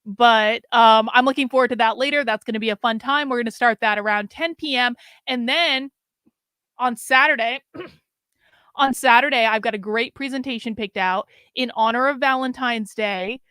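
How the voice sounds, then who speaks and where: A middle-aged woman hosting a casual livestream, chatty and rambling with wry asides, her voice going hoarse. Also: fairly high pitch, normal speed, sharp tone, no room sound. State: losing her voice. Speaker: livestream host.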